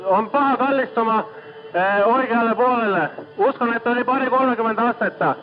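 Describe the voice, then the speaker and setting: Very high-pitched man, halting radio distress call. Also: music in the background. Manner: halting, hesitant. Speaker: ship officer making a distress call. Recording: dull lo-fi marine radio recording.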